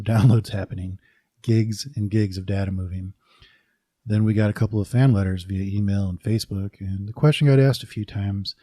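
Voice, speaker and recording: Podcast host, male: low and mellow, conversational announcer read, dry sound. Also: no room sound.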